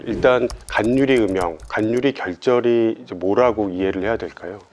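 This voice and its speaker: Low-voiced male surgeon hosting. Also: a little mellow, faint music behind. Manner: polite, measured questioning. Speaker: host, thoracic surgeon. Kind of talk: scripted medical Q&A hosting.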